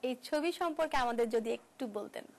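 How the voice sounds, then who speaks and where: A woman's voice: high-pitched, fast talker, gravelly. A woman presenting an interview, asking questions politely and formally.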